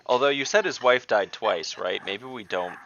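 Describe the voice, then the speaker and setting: A man's voice: mid-range voice, normal speed, fairly bright tone. A man chatting casually as a player in an online tabletop game, heard over a voice call. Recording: dull online call with slight noise, clicks.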